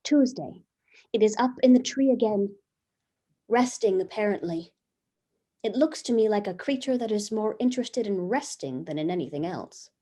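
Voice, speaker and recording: A woman's voice: medium pitch, lively intonation, moderate pace, mellow, natural voice. A woman playing Eve, a newly made, curious and talkative first woman, reading her diary in a wondering, chatty way over a video call. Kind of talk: stage reading of a comic play.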